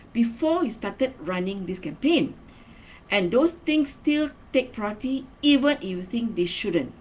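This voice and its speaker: A woman's voice: on the high side, darkish tone, a little hiss or hum, rough recording. A woman giving a semi-scripted training lesson as a marketing trainer, instructional, stressing key words and checking in with short tag questions.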